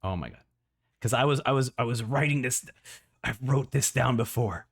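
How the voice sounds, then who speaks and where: A man in a casual livestream reaction, talking excitably and often repeating words as he thinks out loud. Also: mid-range voice, moderate pace, gravelly. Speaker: streamer reacting to a video.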